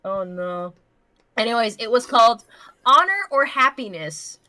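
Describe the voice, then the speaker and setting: Bright-voiced, blunt woman streamer. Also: on the high side, measured pace, no room sound. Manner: animated, blunt, sweary. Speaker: co-host of a livestream. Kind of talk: casual livestream banter and commentary.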